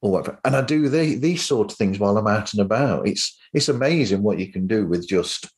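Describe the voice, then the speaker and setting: A man's voice: lowish voice, lively intonation, brisk. A man hosting a podcast in casual chat, rambling along with enthusiasm. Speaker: podcast host.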